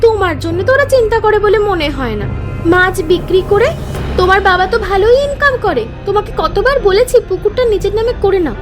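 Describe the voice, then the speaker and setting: A woman's voice: very high voice, expressive, fast, over music. A woman voicing a greedy, stubborn young wife in a cartoon, with a scolding, dismissive manner. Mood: scornful. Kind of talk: cartoon voice acting.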